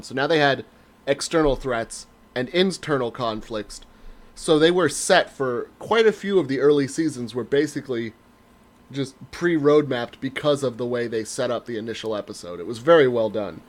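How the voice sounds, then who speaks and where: Opinionated, sweary man in casual chat. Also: mid-range voice. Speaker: podcast host.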